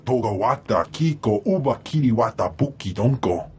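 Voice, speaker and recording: A man voicing a gruff alien brute speaking an alien tongue. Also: mid-range voice, expressive, moderate pace, darkish tone, slight background noise, close to an everyday voice. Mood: grumbling. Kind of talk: video game dialogue. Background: faint game music.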